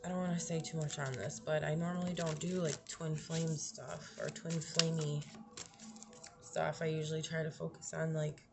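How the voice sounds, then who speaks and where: A young woman with a slightly raspy, lowish voice, musing through a tarot spread in a casual, thinking-aloud way. She trails off and restarts often. A steady hiss of background noise sits under her voice. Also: normal speed. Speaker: tarot reader. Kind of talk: casual tarot reading to camera.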